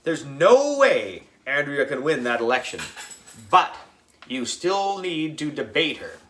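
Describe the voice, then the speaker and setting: A man's voice: fairly high pitch, big pitch swings, slow pace, a bit bright, reverberant, slight background noise, pitched above the performer's own voice, heavily acted. A man voicing a glib, scheming small-town mayor in a children's audiobook, emphatic, chummy and persuasive.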